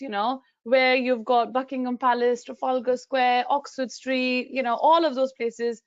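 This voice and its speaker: A woman from Pakistan with a Pakistani accent, giving an expressive, conversational webinar talk straight to her audience over a muffled video call. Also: high-pitched, dry recording. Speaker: guest speaker, council digital officer. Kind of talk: live webinar presentation.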